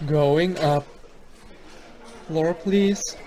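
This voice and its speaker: Guy doing a polite elevator operator, kinda high voice, really slow, deferential, audio play. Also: noisy background. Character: polite newly hired male elevator operator.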